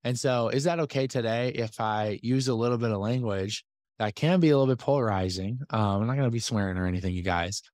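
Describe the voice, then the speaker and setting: Male podcast host, mid pitch, slightly hoarse, energetic and chatty, dry studio sound. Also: fast, no room sound. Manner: energetic, chatty, many fillers and asides. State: slightly hoarse from losing his voice. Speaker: podcast host and business coach. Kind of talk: casual talk to a community call.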